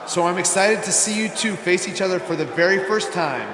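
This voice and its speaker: Earnest male wrestling manager, assertive, animated and highish, in a reverberant game hall. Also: a little fast. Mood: upbeat. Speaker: show manager character. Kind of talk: scripted wrestling game cutscene acting. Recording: echoey hall, background noise.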